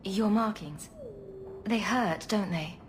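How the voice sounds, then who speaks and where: A woman's voice: mid-range voice, slow pace, slight background noise, close to an everyday voice. A woman playing a concerned, direct companion and lover in a dramatic video game, with a questioning manner.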